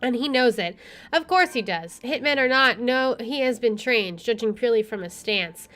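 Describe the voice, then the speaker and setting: A woman's voice: a little high, expressive, normal speed, a bit bright. A woman streamer reading a visual novel aloud and adding casual commentary. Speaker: let's play streamer. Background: faint game music.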